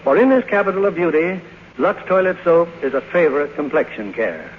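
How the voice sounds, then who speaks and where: A man's voice: a little high, sing-song, a little fast. A man hosting a radio theater, reading a scripted introduction in a formal, showmanlike 1950s announcing style. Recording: muffled old radio broadcast, faint hiss.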